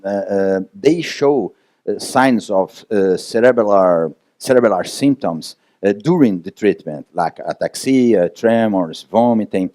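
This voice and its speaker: A man's voice: lowish voice, warm tone, dry recording, studio quality. A man with a Brazilian Portuguese accent, speaking English as a second language, answers audience questions at a conference, hesitating often with fillers and restarts.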